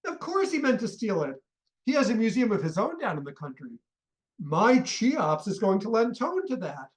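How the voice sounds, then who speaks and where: Radio comedy: blustering, irascible rich father, middle-aged male actor, indignant ranting, very animated intonation. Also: high-pitched, moderate pace. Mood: indignant, sarcastic. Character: irascible blustering wealthy father, obsessive collector. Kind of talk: comic radio play acting.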